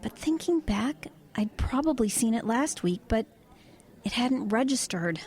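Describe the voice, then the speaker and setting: An actress playing a wry, low-key woman driver who tells of eerie happenings in a conversational, hesitant way in an audio drama. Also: expressive, a little slow, darkish tone. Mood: uneasy, hesitant. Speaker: lead actress and narrator. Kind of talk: audio drama narration and dialogue.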